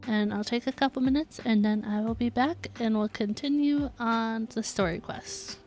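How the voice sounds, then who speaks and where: A woman's voice: on the high side, fairly even intonation, moderate pace, a little mellow, soft background music. A woman game streamer chatting casually and informally, thinking aloud as she talks to her viewers. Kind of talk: casual livestream chat.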